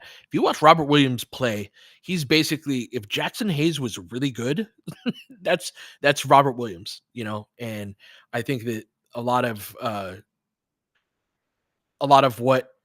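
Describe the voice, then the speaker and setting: A man's voice: mid-range voice, a bit bright, no room sound, clean studio sound. A man with an American accent giving casual live basketball commentary, thinking aloud and chatting conversationally with listeners. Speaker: host of fan play-by-play livestream.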